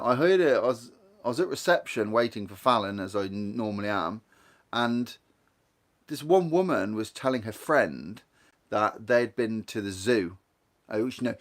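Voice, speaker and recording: A man's voice: mid-range voice, fairly bright tone. Chatty man with a Guernsey accent. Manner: rambling, chatty, reads viewer comments aloud. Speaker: livestream host. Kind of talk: casual live-stream chat.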